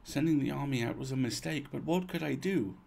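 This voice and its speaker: Man voicing a weary old duchess, gravelly, formal. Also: mid-range voice, darkish tone, voice pushed up. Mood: regretful. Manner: formal, measured phrasing, sighing.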